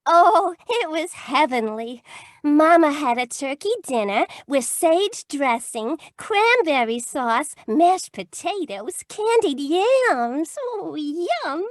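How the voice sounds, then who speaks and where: A woman's voice: high voice, very animated, takes it slowly, dry recording, clean studio sound, exaggerated voice. A woman playing a devoted, naive young detective's secretary who gushes and frets, in old-time radio comedy style. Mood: dreamy, chatty. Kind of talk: 1940s-50s radio comedy-mystery acting.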